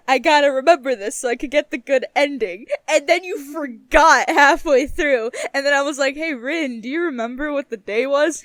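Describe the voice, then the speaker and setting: A woman's voice: high-pitched, dry recording. Teasing young woman, close dry sound. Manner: teasing, giggly, emphatic. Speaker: podcast co-host. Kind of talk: casual chat.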